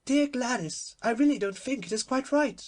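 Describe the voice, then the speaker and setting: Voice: medium pitch, expressive, normal speed, a little mellow, pitched above the performer's own voice, close to an everyday voice. A performer voicing a stately, complacent Duchess with a prim, emphatic manner in a storybook.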